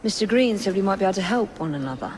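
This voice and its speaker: A woman's voice: medium pitch, animated, very fast, a little mellow, a little hiss or hum, natural voice. Game heroine played by a woman: English, no-nonsense, determined, mid-range voice. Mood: composed. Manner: firm, no-nonsense. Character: determined, composed young female crusader. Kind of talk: video game cutscene acting.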